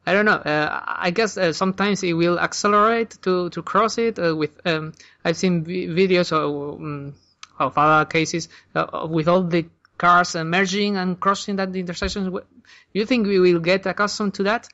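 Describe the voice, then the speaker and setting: A man's voice: high voice, steady tone, normal speed, pushed and bright, lo-fi sound. A man hosting a podcast, asking questions hesitantly with many fillers and closing the show. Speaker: podcast host. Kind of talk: interview questions and show outro.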